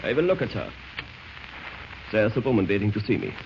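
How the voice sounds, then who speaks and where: Suave, menacing spymaster, swooping delivery. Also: male voice, fairly low pitch, big pitch swings. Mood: cool, calculating. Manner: courtly, deliberate, formal phrasing. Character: suave, menacing male foreign spy ring leader. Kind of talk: 1940s radio drama acting. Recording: dull, noisy old radio recording.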